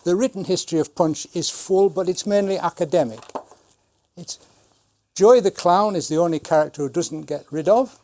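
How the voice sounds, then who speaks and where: A man's voice: sits high, normal speed, warm tone. A man in his seventies, a retired puppeteer, giving an explanatory talk about his craft. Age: 70s. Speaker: retired Punch and Judy puppeteer.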